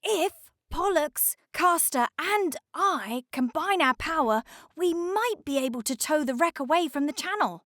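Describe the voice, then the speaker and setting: A keen male icebreaker ship who leads his crew in a children's storybook, voiced by a woman. The voice is high-pitched and confident, with a close, dry sound. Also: animated, measured pace, few pauses, fairly bright tone, no room sound. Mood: determined.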